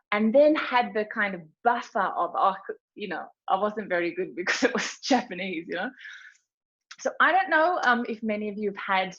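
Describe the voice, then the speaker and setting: A woman's voice: mid-range voice, fairly bright tone. An Australian woman giving a chatty, informal webinar presentation, telling stories with lots of fillers. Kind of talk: casual presentation talk. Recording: dull lo-fi webinar audio.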